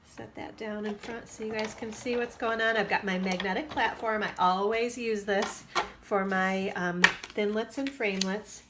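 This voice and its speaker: A woman's voice: medium pitch, fairly even intonation, moderate pace, background noise. A woman giving a chatty craft tutorial at her worktable, sounding stuffy and nasal from allergies. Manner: chatty, with fillers and asides. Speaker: craft demonstrator. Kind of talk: casual tutorial.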